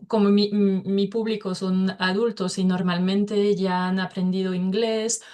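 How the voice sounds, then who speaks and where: French woman, native french speaker, guest teacher in an interview, lots of ums and pauses. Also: medium pitch, level tone, darkish tone. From France. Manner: hesitant, many filler sounds between phrases. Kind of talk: casual interview.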